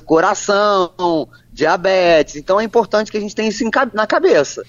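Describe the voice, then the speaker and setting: A woman, a physician answering interview questions in a measured, plain way with frequent restarts. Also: medium pitch, animated, no echo. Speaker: physician guest. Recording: dull, lo-fi, dry sound.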